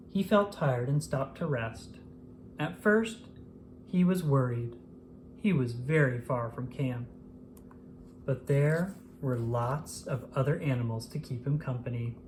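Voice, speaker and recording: Lively, expressive children's storybook narrator. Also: medium pitch, slight background noise. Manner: expressive, acts out exclamations and dialogue. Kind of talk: scripted storybook read-aloud.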